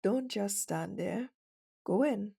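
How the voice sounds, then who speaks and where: Slow, mellow, commanding female CEO acted by a woman. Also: mid-range voice, creaky, husky, full character voice. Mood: curt, commanding. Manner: dominant, stern, deliberate. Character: cold, commanding female CEO, flirtatious and condescending. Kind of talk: ASMR roleplay acting.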